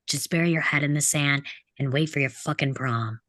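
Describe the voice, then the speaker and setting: A woman reading the part of an angry, sarcastic teenage rebel boy from a rough home, blunt and sneering, in a script table read. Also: sits low, moderate pace, a bit bright, dry recording. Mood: scornful. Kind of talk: video-call table read of a film script.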